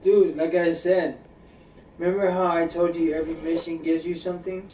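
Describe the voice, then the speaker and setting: A man's voice: fairly high pitch, little pitch movement, moderate pace, echoey room, distant mic, very noisy. A man streaming a game, giving repetitive mentor-like advice in casual chat and calling the listener bro and buddy. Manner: talks like an older mentor, repeats himself, calls the listener bro and buddy.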